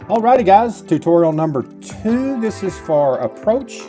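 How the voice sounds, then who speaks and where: A man's voice: high voice, sing-song, unhurried, music in the background. A middle-aged man, casually commentating on gameplay and reading instructions aloud. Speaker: gaming video host. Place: indoors at a computer, webcam.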